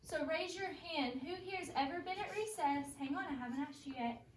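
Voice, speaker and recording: A woman's voice: sits high, normal speed. A woman teacher reading a storybook aloud to young children, emphatically, stopping to ask questions and repeat their answers. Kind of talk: interactive storybook read-aloud. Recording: roomy echo, distant mic, background noise.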